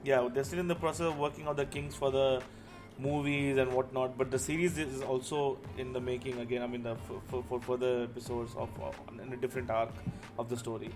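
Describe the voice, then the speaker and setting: Man, Indian accent, mid pitch, casual discussion host, steering the group, music behind. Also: level tone, fairly quick. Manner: steers the group back to the topic. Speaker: host of a gaming discussion show.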